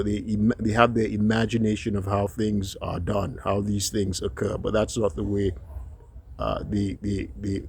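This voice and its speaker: A man from Nigeria giving an unscripted solo commentary, halting often with fillers and restarts and tagging points with a questioning "right?". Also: on the low side, gravelly, dark tone, husky. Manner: frequent rhetorical "right?" tags.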